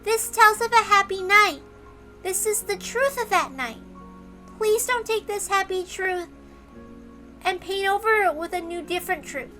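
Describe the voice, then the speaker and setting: Visual novel little girl: extremely high, bright, childlike, serene but excitable. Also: a little slow, faint music behind, full character voice. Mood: solemn, serene. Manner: childlike, repeats herself in excitement.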